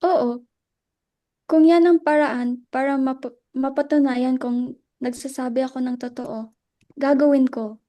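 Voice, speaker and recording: A woman in a dramatic reading playing an anguished, worn-out wife who pleads earnestly and haltingly. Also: high voice, fairly even intonation, dark tone. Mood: pained, resigned.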